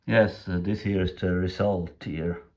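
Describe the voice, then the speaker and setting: A man with a non-native English accent casually narrating a hands-on demonstration as a radio hobbyist, speaking haltingly in short, simple phrases. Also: sits low, steady tone, a little mellow. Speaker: radio repair hobbyist presenting.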